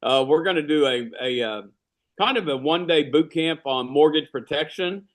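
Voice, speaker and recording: A man's voice: on the high side, normal speed. A man leading an insurance team's training call, talking loosely with many fillers and restarts. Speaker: insurance agency leader running a team training call. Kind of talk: casual team meeting talk.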